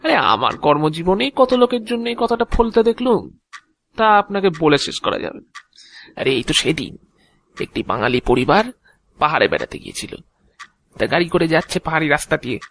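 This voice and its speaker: A man playing a sly, fussy, talkative agent of death in an audio drama, unhurried and theatrical, given to muttering. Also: on the high side, animated, vocal fry, fairly bright tone, no room sound, exaggerated voice. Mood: chatty, sly. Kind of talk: Bengali audio drama acting.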